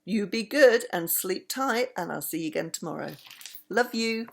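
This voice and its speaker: An older woman, a grandmother, reading a bedtime story in an animated, affectionate way. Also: mid-range voice, normal speed, vocal fry, noisy background.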